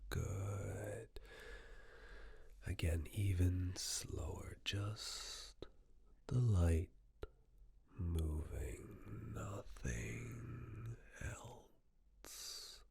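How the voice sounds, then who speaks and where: A whispered ASMR voice of unclear sex, very low and extremely unhurried. It is breathy and husky with a gravelly edge, guiding the listener gently through soothing personal attention. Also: no echo. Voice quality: breathy, mostly unvoiced whisper. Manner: slow, gentle, soothing step-by-step instructions. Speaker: ASMR performer playing a caregiver giving personal attention.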